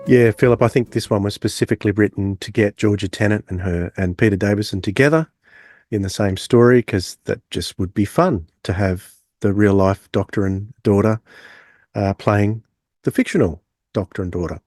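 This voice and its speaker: A man co-hosting a podcast in casual review chat, talking in a halting, discursive way. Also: on the low side, a little mellow, no echo, clean studio sound. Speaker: podcast co-host.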